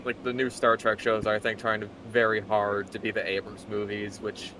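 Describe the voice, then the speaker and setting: A man's voice: fairly low pitch, completely flat delivery, normal speed, a bit bright, a little hiss or hum, poor audio. A man giving casual commentary as a co-host, blunt and sardonic, swearing freely. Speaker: co-host reading a story aloud.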